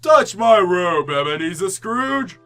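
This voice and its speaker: A jolly giant spirit declaims in a high, bright voice. He is commanding and theatrical, drawing out his words slowly in old-time radio style. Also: sing-song, soft background music, heavily acted. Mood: grand.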